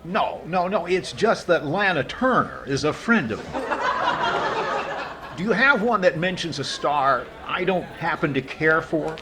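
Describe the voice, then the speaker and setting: Man playing fussy, lively-pitched radio comedian. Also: measured pace, crowd noise. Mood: bemused. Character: fussy, stingy male comedian, mild and bemused. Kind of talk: 1940s radio comedy acting. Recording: noisy, laughing audience behind.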